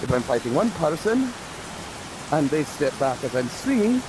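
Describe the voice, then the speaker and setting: A man voices an eager peg-legged fighter in a live fantasy roleplay. His male voice sits fairly high and he talks earnestly, like a keen student. Clear hiss and noise run over the game chat. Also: big pitch swings, moderate pace. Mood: explaining.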